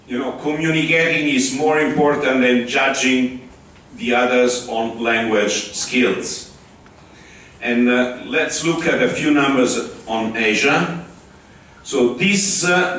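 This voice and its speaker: A man with an Italian accent giving a conference talk, pausing often with fillers and restarts. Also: medium pitch, a bit bright. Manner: halting, with many restarts and fillers. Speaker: conference speaker. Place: large conference hall. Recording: echoey hall, far from the mic.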